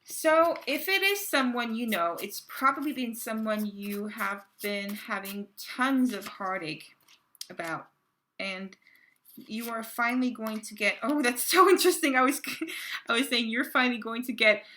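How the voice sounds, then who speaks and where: A woman reading tarot cards to her viewers in a casual, halting way, full of fillers and restarts, her voice a little raspy from a cold. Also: a little high, bright, forceful tone, a little hiss or hum. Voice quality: gravelly. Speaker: tarot card reader.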